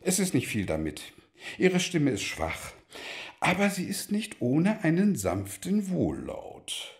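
Slow, animated, worldly godfather. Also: on the high side, full character voice. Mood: appraising, confident. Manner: theatrical, florid, deliberate speech. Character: worldly, avuncular godfather grandly advising a family. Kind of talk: German radio play acting.

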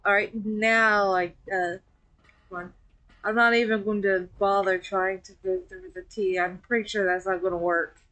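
A woman streamer talks casually while she plays a game with friends listening in. She rambles, giggles often and drifts into filler words between thoughts. Her voice sits at an ordinary middle pitch. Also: steady tone, moderate pace, bright, forceful tone, low-quality recording. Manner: chatty, giggly, trails off with fillers. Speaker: VTuber streamer. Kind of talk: casual gameplay commentary.

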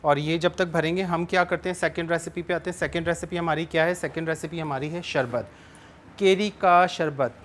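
A man's voice: fairly high pitch, fairly even intonation, brisk, a bit bright, slight background noise. A man in his thirties hosting a cooking show, patiently explaining each step as he cooks in a studio kitchen. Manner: explains steps patiently, switches between Urdu and Sindhi. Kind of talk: cooking demonstration with phone-in calls. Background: background music.

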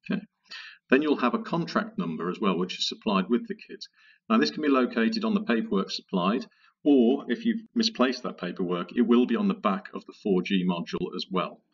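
A man narrating a software tutorial in a methodical, explanatory, informal way. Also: fairly low pitch, expressive, fairly quick, slightly rough, recorded from a distance, muffled recording. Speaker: product tutorial presenter. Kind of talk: software walkthrough narration, conversational.

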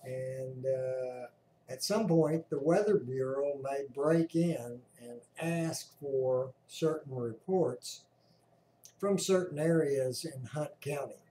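A man giving casual explanatory commentary as a radio hobbyist, halting and often clearing his throat. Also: medium pitch, warm tone. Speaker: amateur radio operator, narrator. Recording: roomy echo, clear background noise.

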